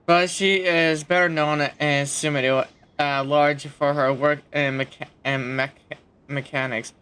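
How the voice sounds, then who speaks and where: A woman's voice: low voice, fairly even intonation, a little slow, a bit bright, smooth. A woman game streamer giving casual, blunt, sarcastic asides while reacting to a video game. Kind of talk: casual gameplay commentary.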